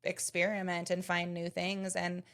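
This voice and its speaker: Gravelly-voiced woman in her thirties. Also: fairly low pitch, completely flat delivery, a little slow, fairly bright tone. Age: 30s. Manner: earnest, explains with examples. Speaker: podcast co-host. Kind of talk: casual chat.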